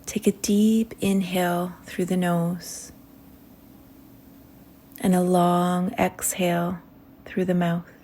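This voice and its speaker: A woman leading a guided meditation in a soothing manner, speaking in short phrases with long pauses that trail softly toward a whisper. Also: lowish voice, flat delivery, slight background noise.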